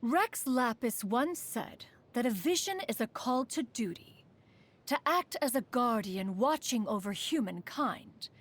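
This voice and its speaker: A woman voicing a dignified, haughty yet caring immortal adeptus in a video game, with formal, archaic diction. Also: fairly high pitch. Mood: solemn, fond. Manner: formal, lofty, archaic diction, calls oneself "one". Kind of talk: scripted video game character voice lines.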